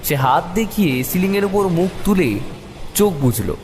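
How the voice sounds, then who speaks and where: A man narrating a detective story in the first person with expressive, suspenseful dramatic delivery. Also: sits high, moderate pace, slight background noise. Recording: long reverb, music behind the voice.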